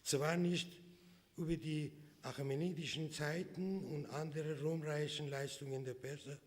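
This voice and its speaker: A man whose first language is Persian, from Iran, reading a prepared speech at a conference in slow, measured phrases. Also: a little high, steady tone. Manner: slow, measured delivery with pauses between phrases. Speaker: conference speaker. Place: conference hall. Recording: reverberant hall recording.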